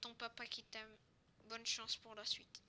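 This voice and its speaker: A woman playing a young survivor who solemnly reads out a father's letter in an amateur drama, slow and halting. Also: on the high side, completely flat delivery, pushed and bright, slight background noise. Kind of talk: improvised amateur reading aloud.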